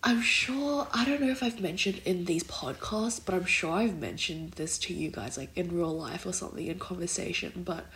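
A young woman talks through her own podcast in a loose, meandering way, with plenty of "like" and "kind of". Her voice is nasal and congested from a blocked nose, and it sits slightly low for a woman. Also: animated, normal speed, few pauses, fairly bright tone, rough recording. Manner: rambling, heavy use of "like" and "kind of". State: recovering from illness, congested. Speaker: podcast host. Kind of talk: casual solo podcast chat.

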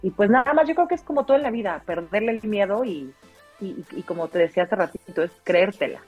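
A Mexican woman in her thirties with a deep, full-bodied voice, telling personal stories casually as an interview guest, in an expressive way. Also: fast talker, slightly rough. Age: 30s. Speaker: interview guest, radio announcer. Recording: dull lo-fi sound, music underneath.

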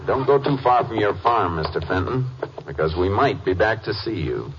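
An actor playing a calm, firm Texas Ranger who narrates and questions tersely in a 1950s radio drama. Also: male voice, lowish voice, heavy background noise. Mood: stern, probing. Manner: terse, measured, authoritative. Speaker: lead detective and narrator. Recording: dull old radio recording.

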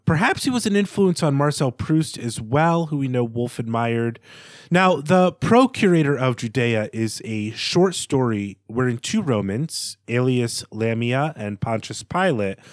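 A man co-hosting a literary podcast, talking through analysis in a measured, scholarly way with halting phrasing. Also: mid-range voice, no echo, clean studio sound. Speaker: podcast co-host.

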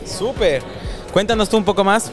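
A man's voice: sits high, normal speed, music in the background. A man hosting an interview, asking casual questions and reacting with short friendly comments. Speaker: interviewer, host.

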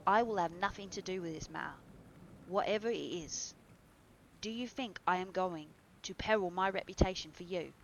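A woman voicing a stern gentleman who speaks in a hard, cold voice and refuses angrily. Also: lowish voice, normal speed, gravelly, a little hiss or hum, poor audio. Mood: angry refusal.

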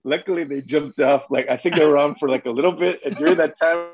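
A man as a podcast guest, telling a story in casual chat with halting fillers. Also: fairly high pitch, a loud crowd.

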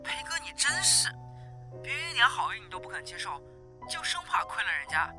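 A woman's voice: fairly high pitch, big pitch swings, brisk, music in the background. A woman acting a young, caring, easily indignant friend in an audio drama, chatty and emphatic. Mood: exasperated.

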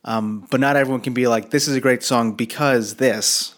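A man's voice: medium pitch, steady tone, normal speed. A man interviewing a singer in a casual chat, hesitant and rambling with many fillers.

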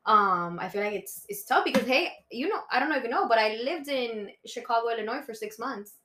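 A woman's voice: on the high side, lively intonation, a little fast, bright, forceful tone. Chatty woman in her twenties, roomy echo. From Colombia, raised in Miami. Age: 20s. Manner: chatty, laughs easily. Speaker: podcast guest. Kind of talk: casual chat.

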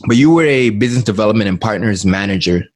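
A man's voice: fairly low pitch, expressive, fast talker. A man hosting a casual interview, asking questions hesitantly and often repeating his words. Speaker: interview host.

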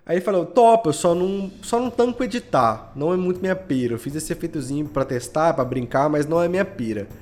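A man's voice: fairly high pitch, animated, fast, hardly any pauses, darkish tone. A man from Belo Horizonte with a Minas Gerais Brazilian accent, chatting casually as a podcast guest and telling anecdotes in slangy speech. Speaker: guest, audio drama podcast creator. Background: background music.

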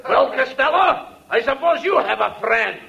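An actor playing a hot-tempered, blustering film director who rants in an old radio comedy. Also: male voice, very high voice, expressive, moderate pace, creaky, highs cut off, some chatter behind, full character voice. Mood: menacing sarcasm. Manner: ranting, shouting. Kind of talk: 1940s radio comedy acting. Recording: old crackly radio broadcast, echoey, audience.

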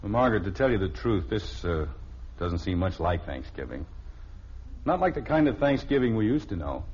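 Grumbling radio-sitcom dad, lively swings. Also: male voice, on the low side, normal speed, breathy edge. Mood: wistful, hesitant. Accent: American. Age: middle-aged. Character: grumbling, soft-hearted middle-aged family man, a father. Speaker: actor. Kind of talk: old-time radio family comedy acting. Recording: dull, noisy old radio recording.

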